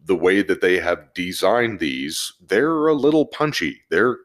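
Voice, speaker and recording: A man with a low voice talks as a podcast host. His delivery is casual, like chatting among a group. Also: very animated, a little slow, a bit bright.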